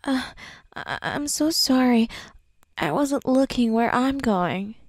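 A woman voicing a possessive, lovestruck teenage schoolgirl in an ASMR roleplay, breathy and often dropping into whispers. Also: fairly high pitch, lively intonation, slow. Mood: flustered, excited. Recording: echoey, long reverb, music behind.

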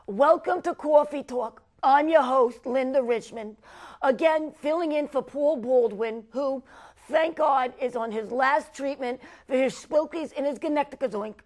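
A woman's voice: sits high, a little slow, darkish tone. A woman playing a sentimental, effusive middle-aged talk show hostess with a New York accent. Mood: gushing. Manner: effusive, melodramatic, Yiddish-sprinkled. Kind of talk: parody talk show hosting.

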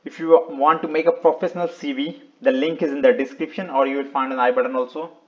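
Guy doing a how-to website walkthrough, bit high pitched, choppy short phrases, kinda muffled audio. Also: steady tone, quick pace, darkish tone. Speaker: video tutorial presenter. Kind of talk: step-by-step website walkthrough, unscripted screen narration.

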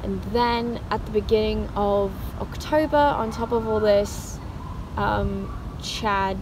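A woman's voice: mid-range voice, fairly even intonation, slow pace. A young British woman chatting casually to camera in a rambling, informal way, as a vlogger does. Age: young adult. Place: outdoors in a wooded park. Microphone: camera mic, propped on a tree stump. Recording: noisy outdoor ambience behind voice.

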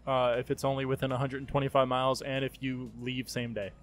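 A man's voice: mid-range voice, flat delivery, fast talker. A young man in a casual group chat, a fellow trucker who asks knowing questions and explains the rules, in a small room. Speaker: podcast guest, truck driver. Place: small wood-panelled room, indoors.

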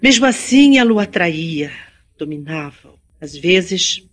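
A woman's voice: fairly low pitch, animated, measured pace. An actress playing a composed, kind young city woman in a Brazilian radio drama, with formal, careful diction. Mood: reflective, earnest. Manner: formal, carefully enunciated speech.

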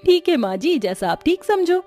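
A woman's voice: very high voice, very animated, moderate pace, dark tone, faint music behind, pitched above the performer's own voice. A woman voicing a bold, dramatic young daughter-in-law in a cartoon story, with exclamatory, theatrical delivery. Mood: worried, obedient. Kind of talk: Hindi cartoon story acting.

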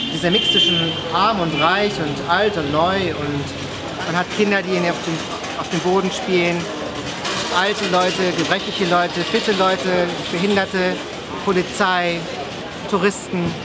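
A man's voice: sits high, heavy background noise. A man in his forties from Hamburg, a street photographer, talking casually outdoors on a busy street and often repeating words as he searches for them. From Hamburg, Germany. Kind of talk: casual interview. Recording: music playing underneath.

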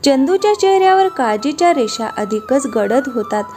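A woman's voice: high-pitched, animated, normal speed, darkish tone. A woman reading scripted narration for a cartoon moral story. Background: light background music.

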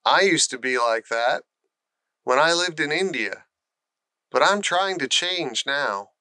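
A man's voice: on the high side, lively intonation, slow pace, bright, forceful tone, no echo, exaggerated voice. A man voicing a frank, encouraging young girl in a storybook, earnest and coaxing.

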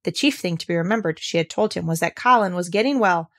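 A woman's voice: fairly low pitch, fast talker. A woman reading a classic children's story aloud as narrator. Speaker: audiobook reader.

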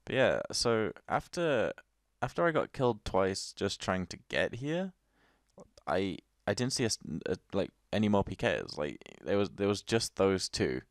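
A man with a low voice and a slight illness talks through his game session in a loose, rambling way, restarting his sentences and swearing casually. The recording is dry and close, with no room sound. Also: very animated, a little slow, dry recording. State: a little ill. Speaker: game video commentator. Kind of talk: casual gameplay commentary.

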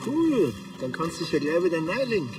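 A man's voice: fairly high pitch, sing-song, fairly quick, recorded from a distance, music in the background, poor audio. An actor with a Bavarian accent playing a blunt, sardonic village policeman in a TV crime comedy. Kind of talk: naturalistic TV crime comedy acting.

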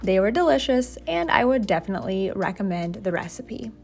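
A woman's voice: medium pitch, big pitch swings, a little fast, vocal fry. A young woman narrating a home cooking video in a chatty, self-deprecating way, over light background music. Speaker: cooking vlogger. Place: home kitchen.

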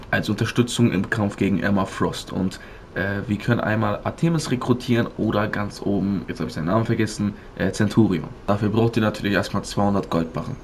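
A man with a fairly low voice commentates a game walkthrough. He explains step by step and addresses his viewers directly, with faint noise behind him. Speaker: gaming video creator.

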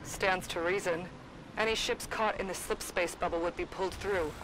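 Woman voicing a calm soldier lady, kinda low voice, matter of fact, noisy battle sounds around. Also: moderate pace, lightly acted. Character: calm female supersoldier, analytical teammate.

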